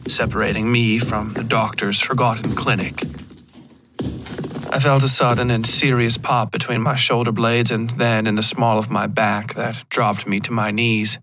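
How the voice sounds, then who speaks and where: A man narrating a scripted horror fiction podcast in a measured storytelling style. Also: mid-range voice, level tone, fairly bright tone, a little hiss or hum.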